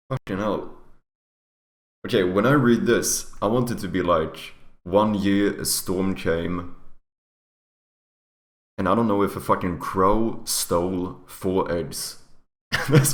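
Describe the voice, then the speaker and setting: A man with a Swedish accent and Swedish as his first language, thinking aloud on a casual video call and swearing casually. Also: low voice. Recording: echoey room on a call mic.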